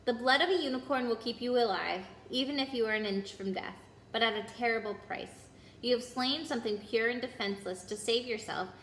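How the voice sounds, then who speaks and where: A noble male centaur as a woman reads him speaks gravely and earnestly in a storybook tale. The voice is fairly high, in a roomy, echoing space with faint noise. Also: bright, forceful tone. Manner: solemn, earnest, formal.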